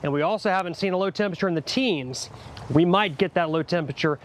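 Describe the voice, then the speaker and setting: A man delivers the weather on air in a high, bright voice. He talks in a loose, chatty way with fillers, and faint street noise hums behind him. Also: fast. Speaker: TV meteorologist. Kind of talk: live weather forecast.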